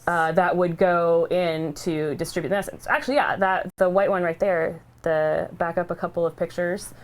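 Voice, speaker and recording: Woman, livestream host. Slightly low pitch, candid and heartfelt, feels her way through sentences haltingly. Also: steady tone. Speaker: charity livestream host sharing her cancer treatment story. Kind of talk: casual, heartfelt unscripted talk.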